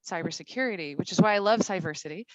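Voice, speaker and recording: A woman's voice: lowish voice, fast, no room sound, highs cut off. A woman in her forties from Morocco, speaking on a discussion panel in a chatty way, with frequent laughs and fillers. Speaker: panelist, CISO.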